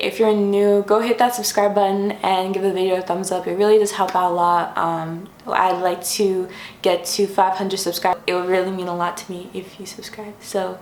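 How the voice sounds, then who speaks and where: A woman's voice: medium pitch, echoey room, slight background noise. A young woman hosting a casual explainer vlog from her room, friendly and chatty, sometimes stopping to correct herself. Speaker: YouTube host. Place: her room, sitting on the floor.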